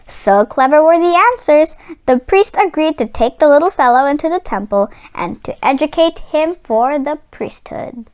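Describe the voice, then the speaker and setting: Female children's read-aloud narrator: very high register, highly animated melody, expressive and deliberate.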